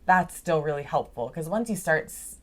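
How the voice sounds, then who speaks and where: A woman's voice: deep voice, animated, normal speed, a bit bright. A young woman talking casually as a podcast guest, chatty, laughing often and filling her talk with "like.". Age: young adult. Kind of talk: casual interview chat. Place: video call. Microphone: video call.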